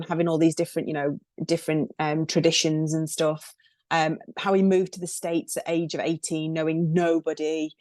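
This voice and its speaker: A woman's voice: low voice, a little mellow, no room sound. A woman hosting a podcast, introducing her guest in a chatty, enthusiastic way with lots of fillers. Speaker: podcast host.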